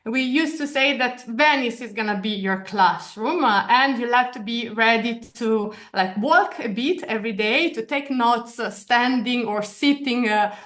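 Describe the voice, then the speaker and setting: A woman's voice: on the high side, a little slow, few pauses, a bit bright. A woman in her thirties with an Italian accent, presenting a study program over a video call, with many hesitations and restarts. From Italy. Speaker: program director. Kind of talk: online information session presentation. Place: office in Venice, video call.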